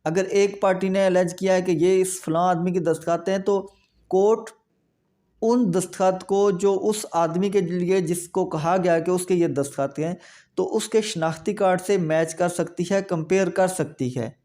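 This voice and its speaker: A Pakistani man lectures in a notably high voice for a male speaker, with a soft, mellow tone. He explains methodically, one step after another, restating each point as a legal instructor would. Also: fairly even intonation, normal speed.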